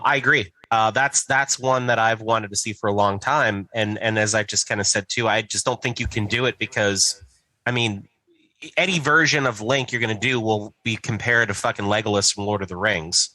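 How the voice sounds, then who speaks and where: Guy on a podcast, kinda low bright voice, halting and sweary, just chatting. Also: dry recording, low-quality recording. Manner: halting, repetitive starts, profane. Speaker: podcast co-host. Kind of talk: casual chat.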